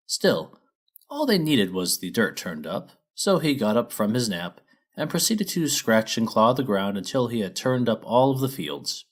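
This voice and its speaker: A man narrates a scripted children's story. His voice sits on the low side and swings widely in pitch, giving the telling an expressive storybook feel.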